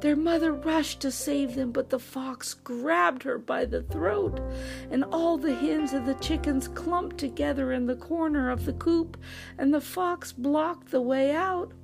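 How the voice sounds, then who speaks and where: A woman narrating a children's picture book in an animated storytelling style, over soft music. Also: sits high, a little slow, vocal fry. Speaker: storyteller reading children's books.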